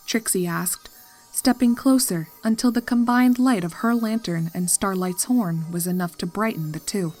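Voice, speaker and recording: A woman's voice: medium pitch, moderate pace, a little mellow, faint music behind. A woman narrating scripted horror fiction as a storyteller.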